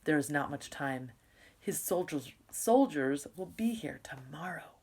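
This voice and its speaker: A woman's voice: low voice, expressive, unhurried, a little mellow, husky, full character voice. Lady reading as panicked neighbor guy in a storybook, urgent warning, really emphatic. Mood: urgent, alarmed. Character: breathless, alarmed male neighbor warning of danger.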